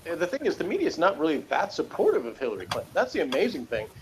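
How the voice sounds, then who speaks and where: A man's voice: on the high side, wildly swooping pitch, quick pace, a little hiss or hum, rough recording. A man from Texas chatting casually and unscripted as a co-host, rambling and correcting himself with a dry joking manner. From Texas, United States. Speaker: podcast co-host reviewing a TV episode.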